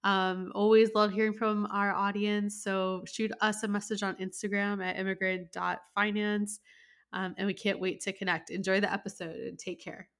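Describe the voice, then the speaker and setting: A woman's voice: medium pitch, flat delivery, normal speed, fairly bright tone. A woman hosting a finance interview podcast, talking in an upbeat, conversational way with the odd "um". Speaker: podcast host.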